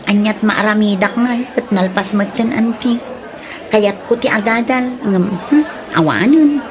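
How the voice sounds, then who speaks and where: A woman's voice: medium pitch, unhurried, a crowd in the background, close to an everyday voice. A woman with an Ilocano accent playing a meek, wistful young woman in a radio drama.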